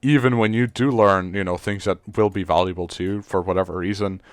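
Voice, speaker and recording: A teenage boy from Serbia, speaking English as a second language, talks loosely on a podcast. His voice is lowish and smooth, full of fillers, false starts and casual swearing. The sound is dry and close. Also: steady tone, brisk, dry recording. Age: teens. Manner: rambling, many fillers and restarts, swears casually. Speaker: podcast co-host. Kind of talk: casual chat.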